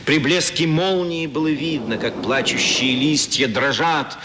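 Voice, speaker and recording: A man's voice: fairly high pitch, a little slow, noisy background, natural voice. A man narrating a literary story for a 1970s radio play. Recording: vintage radio recording, loud storm effects behind.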